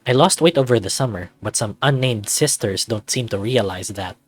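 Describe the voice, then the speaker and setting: A man's voice: lowish voice, moderate pace, soft background music. A young man reading first-person visual novel narration in a cocky, wry, conversational manner.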